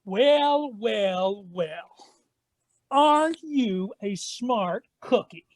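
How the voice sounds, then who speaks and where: A woman's voice: medium pitch, expressive, extremely slow, a little mellow, no echo. A woman acting in a stage farce as a haughty, theatrical man who poses as his own daughter. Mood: sly, threatening.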